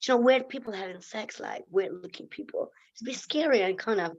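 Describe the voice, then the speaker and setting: A woman's voice: mid-range voice, brisk, highs cut off. Blunt Lithuanian-accented woman. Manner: blunt, swears freely, laughs often. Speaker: interview guest. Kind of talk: casual candid interview.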